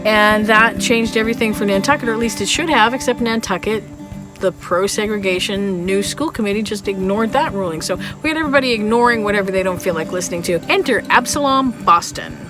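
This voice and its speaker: A woman narrates history like a chatty vlogger talking straight to her viewers. Her delivery is conversational and wry, with playful asides, and music plays clearly behind her middle-pitched voice.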